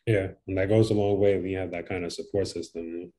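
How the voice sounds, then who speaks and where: A man's voice: low voice, fairly even intonation, a little fast, mellow. A man hosting a casual video-call interview, asking friendly, conversational questions. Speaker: interview host.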